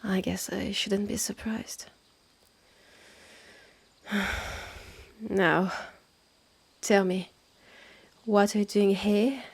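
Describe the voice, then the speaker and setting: Woman doing a witch's sister for roleplay audio, french accent, breathy n husky, slow, haughty, guarding her land. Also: medium pitch, fairly even intonation, heavily acted. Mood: intrigued, wry. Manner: slow, measured, condescending, with breathy drops to near-whisper. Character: haughty, territorial witch's sister running a secretive woodland hotel. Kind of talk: scripted roleplay audio drama.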